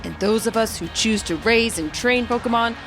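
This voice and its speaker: A woman's voice: on the high side, normal speed, over music, natural voice, low-quality recording. A woman voicing a male business chairman giving a formal, pompous and welcoming public speech, as in a game story. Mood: grand, welcoming. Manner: formal, showy public-speaker delivery.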